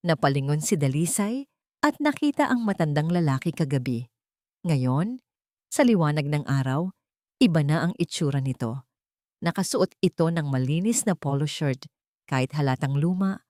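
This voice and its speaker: A woman's voice: lowish voice, warm tone, no room sound, studio quality. A woman storyteller reading a scripted, dramatic love story.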